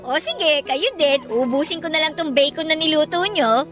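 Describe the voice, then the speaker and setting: A playful college girl in a Filipino radio drama, played by a young woman. Her voice is extremely high and cheeky, with a Filipino accent and teasing banter, over clear background music. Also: normal speed, close to an everyday voice. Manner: teasing, animated, casual banter. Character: playful, sassy college girl, newly independent.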